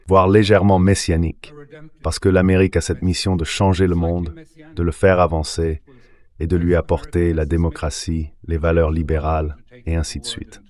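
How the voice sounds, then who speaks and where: A man reading a translated voice-over as a guest commentator, explaining things in a reflective, measured way. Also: low voice, level tone, a little mellow.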